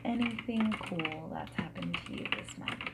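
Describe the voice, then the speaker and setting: A woman speaking softly and breathily in an ASMR roleplay, often slipping into whispers as she asks gentle, reassuring questions like a friendly interviewer. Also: deep voice, normal speed, creaky. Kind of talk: soft-spoken ASMR roleplay. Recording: steady noise, keyboard typing and clicks. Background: mechanical keyboard typing and clicks.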